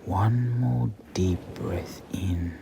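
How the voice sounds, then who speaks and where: A man guiding a meditation in a soothing, breathy near-whisper with long pauses. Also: deep voice. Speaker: meditation guide. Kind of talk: slow guided meditation. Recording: clearly audible background ambient noise.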